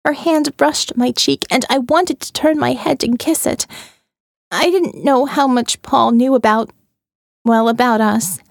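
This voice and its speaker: A woman narrating a first-person audio novel in a measured, intimate way. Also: on the high side, gravelly, dry recording, studio quality.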